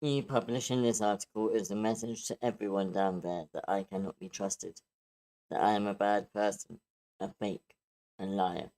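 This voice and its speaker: A man playing a wounded, vengeful villain in a screenplay table read, with slow, menacing, dramatic phrasing. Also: fairly low pitch, steady tone, mellow, lightly acted, poor audio. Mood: bitter, hurt. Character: vengeful, wounded male villain running for mayor.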